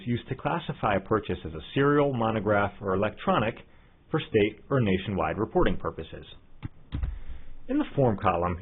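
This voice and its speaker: A man narrating a scripted software tutorial as an instructor, in a methodical, step-by-step way. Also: medium pitch, breathy edge. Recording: muffled, phone-like narrow-band audio.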